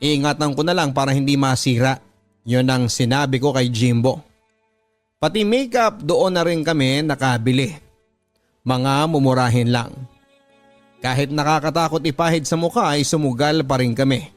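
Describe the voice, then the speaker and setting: A man with a Filipino accent reading a dramatic first-person story as a radio drama narrator, measured and earnest. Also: medium pitch, dry recording. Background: background music.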